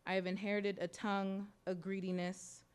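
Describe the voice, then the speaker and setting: Smooth-voiced American woman reading prose. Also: mid-range voice. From United States. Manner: measured, reflective, softly expressive delivery. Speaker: author reading her essay. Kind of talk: literary reading of personal prose. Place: bookstore event in front of an audience.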